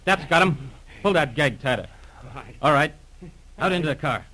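A man's voice: on the high side, animated, moderate pace, bright, forceful tone, low-quality recording. A man playing a tough gangster henchman, slangy and bossy, in 1940s radio drama style. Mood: barking orders. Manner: slangy, barking orders. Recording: old radio serial recording.

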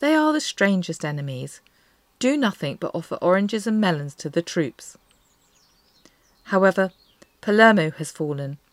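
A woman's voice: on the low side, big pitch swings, normal speed, long pauses, no echo. A woman playing a wry, observant wartime diarist, reading entries aloud. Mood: wry, pleased. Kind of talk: dramatised diary reading.

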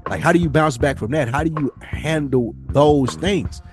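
Higher-voiced man, earnest and slangy. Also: normal speed, music in the background. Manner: earnest, slangy, rambling with repeated phrases. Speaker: podcast host. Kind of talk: casual reflective conversation.

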